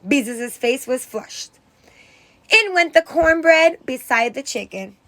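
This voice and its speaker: A woman teacher reading a story aloud to children in an expressive narrating style, with dramatic emphasis. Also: high-pitched, slow pace. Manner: dramatic emphasis on exclamations and character lines.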